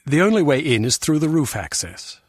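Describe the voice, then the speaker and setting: A man's voice: a little high, big pitch swings, moderate pace, no echo. A man playing a precise, dry, disdainful intelligence officer in a video game. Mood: dry, skeptical.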